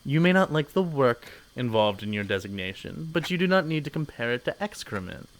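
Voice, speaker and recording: Pompous, animated man playing a corporate bureaucrat. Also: medium pitch, brisk, rough recording. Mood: condescending. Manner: pompous, condescending, clipped officialese. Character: pompous, officious male corporate bureaucrat boss, easily offended. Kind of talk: audio drama acting.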